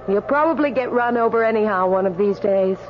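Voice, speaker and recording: An actress playing a calm, wry female guide in the afterlife in a radio drama, gently questioning. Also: mid-range voice, fairly quick, a little hiss or hum, lightly acted. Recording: muffled radio recording, loud music.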